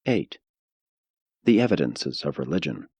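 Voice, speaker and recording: A man narrating an audiobook from a script in a measured, formal, solemn manner. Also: extremely low pitch, sing-song, a little mellow, slightly rough, dry recording.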